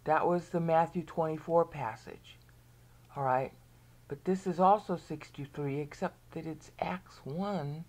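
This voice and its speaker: A woman with an American accent and a low, deep voice walks through scripture in a rambling, explanatory way. She often checks in with her listeners and now and then drops almost to a whisper. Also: measured pace, a little mellow. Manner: drops to a near whisper at times. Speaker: Bible teacher. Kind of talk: screen-share Bible lesson.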